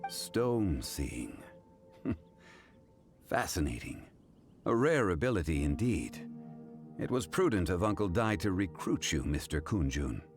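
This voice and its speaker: A man voicing a calm, learned and dignified character in a video game, formal and deliberate as he reasons aloud. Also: low voice, faint music behind, heavily acted. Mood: composed.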